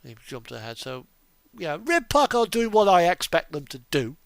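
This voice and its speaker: A man's voice: on the high side, big pitch swings, moderate pace, gravelly, no echo, studio quality. A man giving casual, chatty game commentary as a streamer, hesitant and often trailing off mid-thought. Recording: music playing behind the voice. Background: music, truck engine sounds from game.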